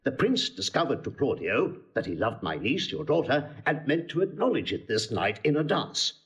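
Eager older brother, confiding, animated pitch, classical diction, muffled recording. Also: male voice, normal speed. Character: older gentleman, eager gossiping brother and uncle. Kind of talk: classical Shakespearean audio drama acting.

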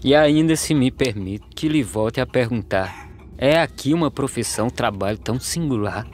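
A man playing a poor, weary migrant farm labourer, speaking measured verse in an animated film. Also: mid-range voice, close to an everyday voice. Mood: polite, inquiring. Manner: measured, declaimed rhyming delivery. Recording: music behind the voice.

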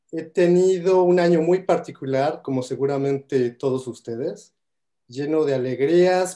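A man in his thirties with a Mexican accent gives an earnest, heartfelt toast. He speaks into a headset on a video call. His voice is mellow and a little high. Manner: earnest, heartfelt, warm thanks. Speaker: guest giving a toast. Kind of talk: spoken toast at an online awards event. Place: home room on a video call.

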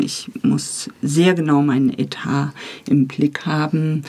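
A woman with a husky voice, talking enthusiastically as a guest in a relaxed interview. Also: low voice. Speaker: guest, radio drama author, editor and director.

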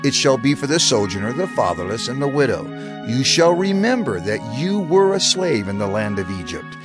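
Man acting a solemn lawgiver prophet, animated delivery, over music. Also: medium pitch, close to an everyday voice. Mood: solemn, commanding. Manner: declamatory, deliberate, authoritative. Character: solemn male lawgiver prophet addressing his people. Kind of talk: dramatized scripture narration. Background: background music.

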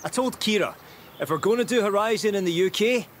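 An actor playing an easygoing, wry festival organiser, casually narrating in a video game, chatty and self-deprecating. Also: male voice, sits high, expressive, fairly quick, slight background noise. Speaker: video game character guide narrating landmarks.

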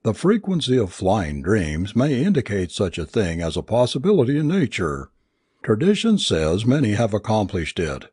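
A learned male doctor read by a narrator expounds formally in an audiobook story. The voice is animated and rhetorical, with a slightly husky tone and a dry sound. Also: lowish voice, moderate pace, no echo, full character voice. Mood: expounding.

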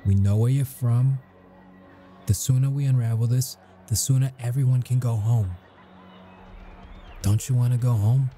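An actor playing a grumpy but caring military intelligence interrogator in an ASMR audio roleplay, speaking in measured, halting phrases. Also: male voice, medium pitch, steady tone, mellow, soft background music, close to an everyday voice. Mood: firm, frustrated. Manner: measured, halting, deliberate delivery.

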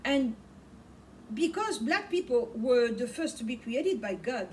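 A middle-aged woman, a native Swahili speaker, preaches with passion and repeats her key words. Her voice sits fairly high. She is picked up by a camera mic across a small study, with a faint hum in the background. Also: expressive, measured pace, darkish tone. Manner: impassioned, repeats words for emphasis, gestures a lot. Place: small home study with bookshelves, indoors. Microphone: camera mic from across the room. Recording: distant mic with faint room noise.

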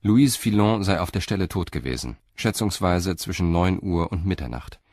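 A man narrating a crime story in a measured, sober and deliberate way. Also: deep voice, expressive, a little mellow, no echo, studio quality.

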